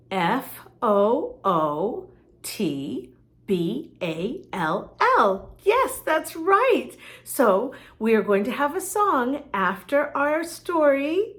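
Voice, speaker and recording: Slow, animated woman reading to children. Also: medium pitch. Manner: animated, addresses young listeners, spells words out letter by letter. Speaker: children's story reader, host. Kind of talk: read-aloud picture book storytelling for children.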